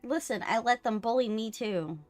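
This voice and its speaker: A woman's voice: fairly high pitch, normal speed. A woman talking casually and teasingly as she hosts a gaming live stream. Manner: playful, teasing. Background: music.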